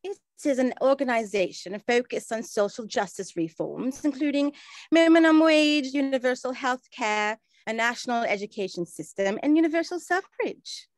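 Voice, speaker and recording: Woman as genteel 1920s auntie, period drama: fairly high voice, formal, earnest, close dry sound. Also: normal speed, dry recording, lightly acted. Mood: earnest, informative. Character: genteel, earnest well-travelled aunt of the 1920s. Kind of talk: scripted period radio drama acting.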